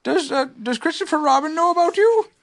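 A storybook reader voicing a small, gentle toy bear who is sleepy, polite and a little puzzled, speaking hesitantly. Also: sits high, expressive, fairly quick, voice pushed up. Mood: polite, curious. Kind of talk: children's storybook character reading.

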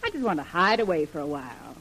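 A woman's voice: sits low, sing-song, fast, a bit bright, exaggerated voice. An actress playing a brassy, streetwise woman with slangy, wheedling talk in an old radio adventure drama. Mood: tough, confiding. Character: brassy, hard-boiled woman undercover as saloon hostess. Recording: noisy old radio broadcast recording.

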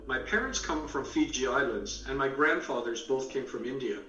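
A man's voice: mid-range voice, moderate pace, sharp tone, reverberant, recorded from a distance, dull audio, faint music behind. A man born in England and raised in Canada, an author talking as a guest, explaining ideas through long metaphors and acting out little quoted conversations. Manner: explains with extended metaphors, acts out quoted dialogue. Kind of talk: storytelling interview answers.

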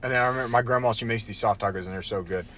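A man's voice: mid-range voice, racing, fairly bright tone. A young man co-hosting a casual podcast, telling a rambling story with lots of restarts and "bro"s. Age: young adult. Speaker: podcast co-host. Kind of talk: casual chat.

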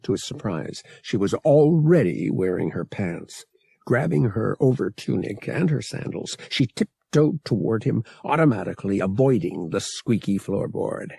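A male audiobook narrator tells an adventure story in a low, husky voice. He takes his time and swings widely in pitch for drama, with a dry, close sound. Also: lively intonation, normal speed, mellow, no room sound. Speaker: audiobook reader.

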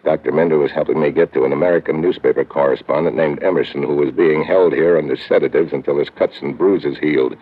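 An actor playing a tough, hard-boiled American government agent in old radio drama style, terse and deadpan. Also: male voice, a bass voice, quick pace, hardly any pauses. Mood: urgent. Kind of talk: 1950s radio drama acting and first-person narration. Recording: tinny, noisy old radio recording.

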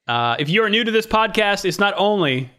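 A man hosts a podcast in a friendly, relaxed voice that sits a little high for a man. He opens the show and runs through the plugs conversationally, with easy pauses. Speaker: podcast host. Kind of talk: casual chat with scripted show intro.

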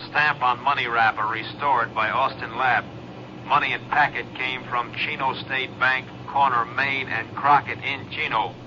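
A man acting a formal, impersonal police dispatcher, reading in a flat, telegraphic way in a 1950s radio drama. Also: medium pitch, unhurried, natural voice. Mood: flat, official. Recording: muffled, noisy short-wave radio sound.

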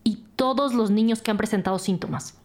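An actress playing a blunt, confrontational police detective with a sardonic, accusing manner in a crime audio drama. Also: female voice, medium pitch, fairly quick, close to an everyday voice. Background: music bed.

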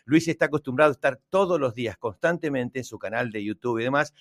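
A man's voice: mid-range voice, quick pace, a bit bright, dry recording. Argentine male interviewer, close dry sound. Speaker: show host, interviewer. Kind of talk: conversational interview.

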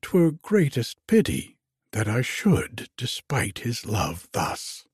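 A man's voice: mid-range voice, wildly swooping pitch, slow, a little mellow, husky, no room sound, clean studio sound, exaggerated voice. A man voicing a proud, teasing young maiden in a storybook romance, with theatrical, questioning, archaic speech. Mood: indignant.

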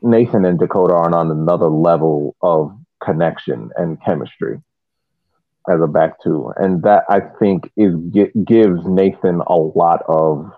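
Low voiced guy on a sports podcast, thinking out loud in bits, sounds like a phone line, very dry. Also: measured pace, no echo. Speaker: podcast co-host. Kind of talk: casual sports analysis.

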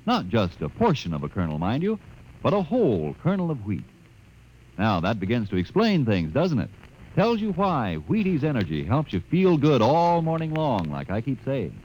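A man's voice: mid-range voice, huge pitch swings, moderate pace, darkish tone, lo-fi sound, slight background noise. A man giving a radio cereal commercial in a chatty, folksy and persuasive way. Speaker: commercial announcer.

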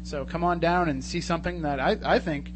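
A man's voice: a little high, steady tone, fairly quick, background noise. A man hosting a radio show in a casual, chatty and jokey way from a studio.

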